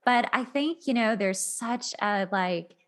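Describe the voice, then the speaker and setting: A woman's voice: a little high, slow, a bit bright. A woman hosting a podcast in a casual, conversational interview style, informal and encouraging. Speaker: podcast host.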